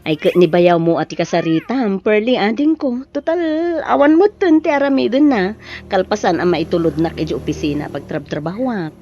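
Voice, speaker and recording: A woman's voice: medium pitch, animated, moderate pace, darkish tone, dull audio, faint music behind, lightly acted. A woman acting a helpful, reassuring married elder sister in an audio drama, with an Ilocano Filipino accent.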